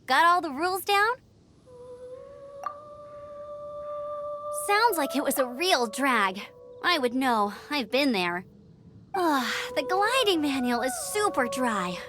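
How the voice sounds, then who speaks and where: A woman voicing an upbeat, bubbly teenage girl glider in a video game, with a perky, earnest, animated way of talking. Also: high voice, a little slow, music in the background. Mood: teasing, chatty.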